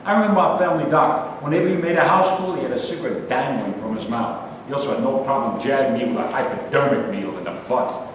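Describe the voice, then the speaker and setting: A man's voice: fast talker, close to an everyday voice. A man acting in a staged play reading as a neurotic, aggrieved complainer, indignant and emphatic. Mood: bitter self-pity. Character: neurotic, aggrieved man who rants and grumbles. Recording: echoey hall from far off, rumbly noise.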